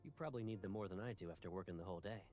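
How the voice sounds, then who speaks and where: An actor playing a composed, attentive military officer and love interest in a video game. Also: male voice, low-pitched, racing, a little mellow, close to an everyday voice. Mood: calm, attentive. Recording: background music under the voice.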